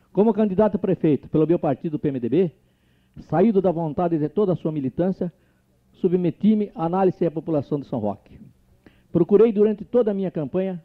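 A Brazilian man, a mayoral candidate, delivering a formal, declamatory campaign speech to his voters. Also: a little high. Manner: solemn, declamatory oratory. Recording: tinny lo-fi archive tape.

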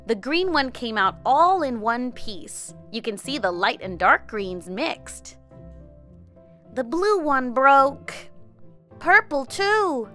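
Animated, high-pitched woman narrating for kids. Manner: animated, expressive, child-friendly delivery. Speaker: host of a children's craft and science show. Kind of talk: scripted craft experiment narration for children. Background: background music.

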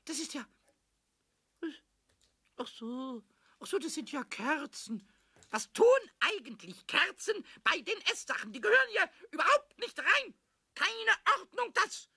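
A mischievous little kobold chatters to himself in a children's radio play, high and croaky. The pitch swoops all over in excitable, childlike sing-song, bright and piercing. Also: male voice, measured pace, dry recording, clean studio sound, exaggerated voice. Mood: curious, playful, then excited. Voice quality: squeaky, scratchy.

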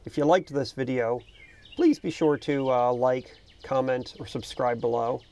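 A middle-aged man with a gravelly, creaky edge and a medium-pitched voice hosts a casual boating vlog. He explains things conversationally and without a script, speaking outdoors in a small boat. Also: measured pace, darkish tone. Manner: conversational, unscripted. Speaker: boating video host. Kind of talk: casual explanatory talk to camera.

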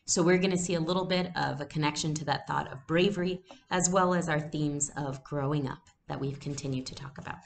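A young adult woman giving a read-aloud lesson, explaining things deliberately as a teacher. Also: low-pitched, fairly even intonation, vocal fry. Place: small room at home. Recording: noisy home webcam recording.

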